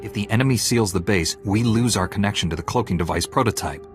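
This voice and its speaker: An actor playing a male Jedi Knight hero in a game cutscene, speaking in a steady, commanding way. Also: fairly low pitch, quick pace, natural voice. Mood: resolute. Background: game music.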